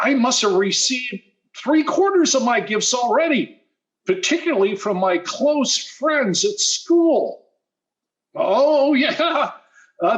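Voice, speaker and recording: A man's voice: very high-pitched, full character voice, rough recording. A man playing a wheedling teenage schoolboy in old-time radio sitcom style, stammering and mock-modest. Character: wheedling teenage schoolboy, eager, guileless-sounding schemer.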